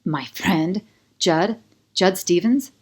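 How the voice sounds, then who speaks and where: A woman's voice: lowish voice, slow, darkish tone, slightly rough. An intense, wounded young ex-boyfriend in a romance audiobook, voiced by a woman narrator. He speaks with jealous feeling and a sense of old hurt.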